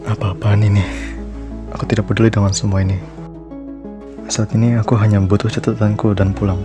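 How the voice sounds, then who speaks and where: A man acts out a reluctant schoolboy in his teens with a fairly low voice. He is skeptical and hesitant, a visual novel lead, with soft music underneath. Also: little pitch movement, brisk, lightly acted. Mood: skeptical, dismissive. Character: reluctant teenage schoolboy, skeptical and easily alarmed.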